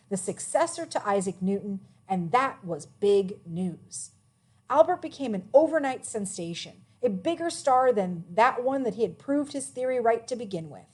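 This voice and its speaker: Woman reading aloud with lively, swooping pitch. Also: slightly rough. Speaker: read-aloud narrator. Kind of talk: reading a children's biography aloud.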